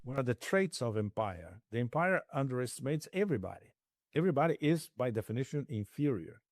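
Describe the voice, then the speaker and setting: Male interview analyst with lively pitch movement, faint and distant beneath a dub. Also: moderate pace. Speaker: political analyst guest. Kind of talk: interview commentary.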